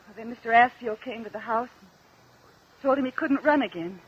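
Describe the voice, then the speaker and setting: An American woman playing a deferential, halting widow giving testimony in a mid-century radio drama. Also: on the high side, slightly rough. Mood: subdued, earnest. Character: polite, hesitant widowed wife testifying on the witness stand. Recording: dull, lo-fi old radio recording.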